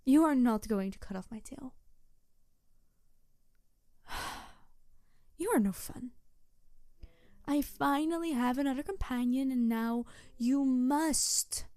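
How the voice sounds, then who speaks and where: A woman playing a mischievous, excitable tanuki trickster spirit in a roleplay audio drama, teasing and flirty and easily huffy, talking straight to the listener. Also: high-pitched, takes it slowly, long pauses, smooth, no room sound, clean studio sound. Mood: offended, huffy.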